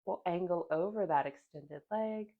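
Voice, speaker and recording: A woman leads a slow yoga class in a gentle, calm voice with soft pauses, a bit sniffly from a sinus infection. Her voice sits fairly low, and the recording sounds slightly dull and muffled. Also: vocal fry. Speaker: yoga instructor. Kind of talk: guided yoga class instruction.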